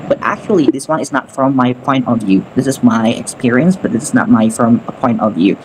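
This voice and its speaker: A man from Indonesia, an English teacher, telling a story in a relaxed, chatty way over a video call. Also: mid-range voice, fairly quick. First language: Sundanese. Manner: chatty, loose, with many fillers. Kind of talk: casual storytelling in a meeting. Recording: noisy background.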